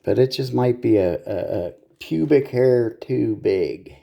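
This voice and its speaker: A man's voice: medium pitch, takes it slowly, gravelly, mellow, highs cut off. A man giving casual, hands-on repair commentary in a blunt, salty way, swearing freely as he goes. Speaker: repair video host.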